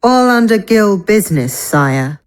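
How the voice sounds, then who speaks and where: A woman's voice: medium pitch, sing-song, measured pace. A woman playing a cold guild hunter in a fantasy audio drama, speaking levelly and formally with professional authority. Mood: composed, firm.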